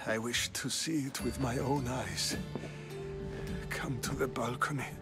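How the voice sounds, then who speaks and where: A man plays a frail old statesman in a game cutscene, talking very slowly and wearily. A game score sits under his voice. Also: mid-range voice, steady tone, loud music behind the voice, lightly acted. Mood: weary. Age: older. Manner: slow, weary. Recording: game score playing behind.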